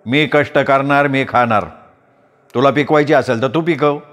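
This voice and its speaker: A man's voice: medium pitch, moderate pace, fairly bright tone, long reverb tail, close to an everyday voice. A man voicing a stubborn former soldier turned farmer who talks bluntly and defiantly.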